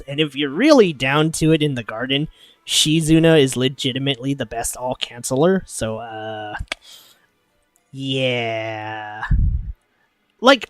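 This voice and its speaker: A sniffly man talking through a game with a bouncy, lively pitch that sits a bit high, taking his time over each thought. He swears casually and laughs a lot between points. A little music plays faintly under his dry, close voice. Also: dry recording. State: sniffly, coming down with a cold. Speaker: streamer.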